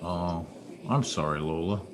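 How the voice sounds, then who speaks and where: Man acting low-voiced hard-boiled private eye. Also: unhurried, a crowd in the background. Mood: guarded sympathy. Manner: wry, laconic, clipped. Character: hard-boiled private detective, world-weary, quietly decent. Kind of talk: old-time radio noir acting and narration.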